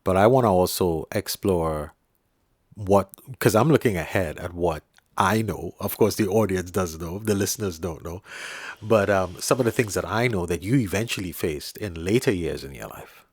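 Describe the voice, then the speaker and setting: A man hosting an interview podcast, asking measured questions and affirming the guest with murmurs. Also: low-pitched, breathy edge, dry recording. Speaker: podcast host.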